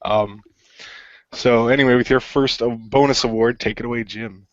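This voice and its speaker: A man's voice: mid-range voice, steady tone, normal speed, no room sound. A man hosting a casual talk podcast, congested from a cold, laughing often and using lots of fillers. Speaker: podcast host. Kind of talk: casual chat. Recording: muffled dry lo-fi audio.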